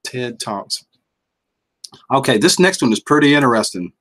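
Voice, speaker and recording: A man's voice: mid-range voice, expressive, moderate pace, fairly bright tone. A middle-aged man with a Southern US accent, chatting casually and folksily while he hosts a livestream and reads out the chat. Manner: folksy and chuckling, drawls out words. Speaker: livestream host reading chat.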